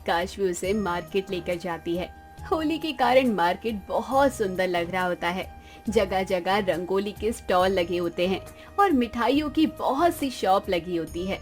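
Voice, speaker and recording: A woman's voice: mid-range voice, animated, measured pace, faint music behind. A woman reading scripted narration for a moral story as its narrator.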